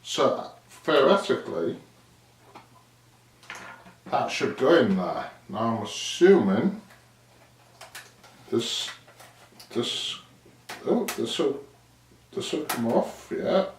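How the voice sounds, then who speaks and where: Slow, echoey man doing a DIY demo. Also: mid-range voice, sing-song. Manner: unhurried, rambling, thinking aloud, self-deprecating. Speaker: amateur DIY video maker. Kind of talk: casual hands-on demonstration commentary. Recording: echoey, distant mic with hiss.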